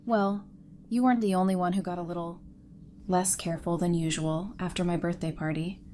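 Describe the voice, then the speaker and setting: A woman's voice: on the low side, fairly even intonation, brisk, mellow, slight background noise, natural voice. A woman playing an upbeat, teasing expectant mother and loyal best friend in an audio drama. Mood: teasing, excited.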